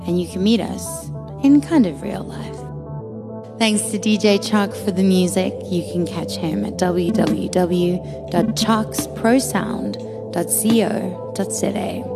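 Female host reading a slow outro, music clearly under her. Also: mid-range voice. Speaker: podcast host. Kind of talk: scripted outro. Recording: music bed behind the voice.

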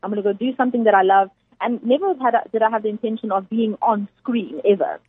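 A South African woman with a husky, slightly high voice, talking casually and emphatically as a guest chef, laughing often. The sound is dry and narrow, like a radio line heard over a phone. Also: fast talker, no room sound. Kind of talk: casual interview chat.

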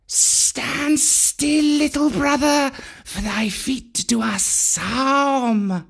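A reader voicing a solemn chorus of cobras, with drawn-out, hushed calls. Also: high-pitched, vocal fry, voice pushed up, full character voice. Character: chorus of low-voiced cobras.

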